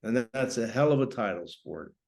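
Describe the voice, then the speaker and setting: A man's voice: medium pitch, animated, a little fast, vocal fry, darkish tone. A man voicing a brash, slangy, cigar-chewing 1940s American airman in a novel reading. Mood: breezy, brash.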